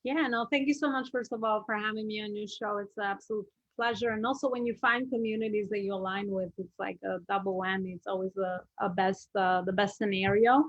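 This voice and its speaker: A woman's voice: medium pitch, dry recording, lo-fi sound. A middle-aged woman with an Albanian accent, a business coach telling her story as a podcast guest, chatty with many fillers and restarts.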